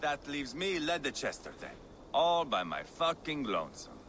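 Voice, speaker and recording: A man's voice: a little high, sing-song, measured pace, a bit bright, a little hiss or hum. A man playing a brash, foul-mouthed, hot-tempered Viking warrior in a video game, with a mocking, theatrical delivery. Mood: crude grumbling. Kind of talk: dramatic video game acting.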